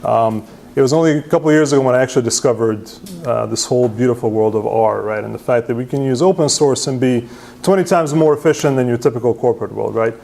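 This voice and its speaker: A man's voice: medium pitch, fast talker, vocal fry, dark tone. Man giving a meetup talk. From Serbia. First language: Hungarian. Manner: self-deprecating, frequent "right?" tags and restarts. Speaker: presenter at user group meetup. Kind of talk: conference talk with slides.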